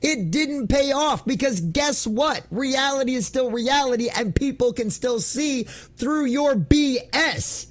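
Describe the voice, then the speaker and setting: A man's voice: extremely high pitch, unhurried, hardly any pauses. A man hosting an online gaming news show, giving opinionated commentary in an emphatic, theatrical, mocking way.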